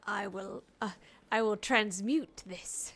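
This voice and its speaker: A woman doing casual, expressive live gameplay commentary, talking to herself and to the game as she plays. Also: mid-range voice, slow. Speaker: game streamer.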